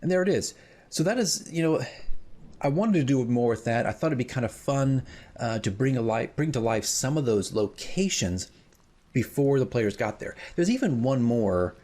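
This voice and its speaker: A man hosting casual, rambling commentary as a dungeon master, informal and self-deprecating, with a husky voice. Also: medium pitch, expressive, normal speed, a little mellow. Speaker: host, dungeon master, radio drama creator.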